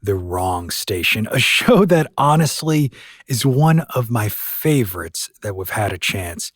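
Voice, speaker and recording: Casting: male podcast host, mid-pitched and very varied intonation, folksy scripted intro, dry studio sound. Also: very animated, a little slow, husky, no room sound.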